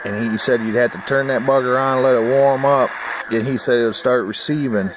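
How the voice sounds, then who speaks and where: A man's voice: mid-range voice, fairly even intonation, fairly quick. An American man chatting casually to camera in a laid-back, folksy, hesitant way, like a rambling hobbyist. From United States. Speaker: hobbyist vlogger. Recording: noisy amateur camera audio.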